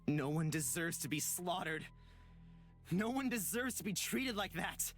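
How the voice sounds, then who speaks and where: A woman voicing an earnest young protagonist in a visual novel game, speaking in deliberate, dramatic phrases. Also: fairly low pitch, animated. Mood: grave, resolute. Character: earnest young protagonist pleading with a possessed friend. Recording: music behind the voice.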